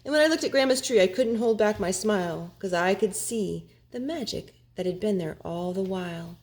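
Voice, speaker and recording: A woman hosting storytime for children, reading in an expressive storybook style. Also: mid-range voice, normal speed. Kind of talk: expressive rhyming storybook narration. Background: music.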